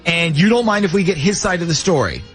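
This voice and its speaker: A man's voice: high voice, expressive, fast, fairly bright tone, faint music behind. A man hosting a casual morning radio show, talking through a prank call.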